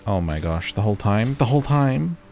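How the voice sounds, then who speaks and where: A man's voice: fairly low pitch, lively intonation, a little fast, dark tone. A man doing casual gaming commentary, chatting and musing to himself and to viewers as he plays and reads out on-screen text. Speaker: gaming video host.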